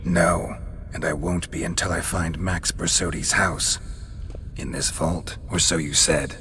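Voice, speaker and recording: An actor playing a weary middle-aged witcher who speaks in terse, dry, deadpan lines, with a game score behind him. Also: low-pitched, a little slow, slight background noise, heavily acted. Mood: stern. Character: gruff, weary middle-aged male witcher monster hunter. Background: game music score.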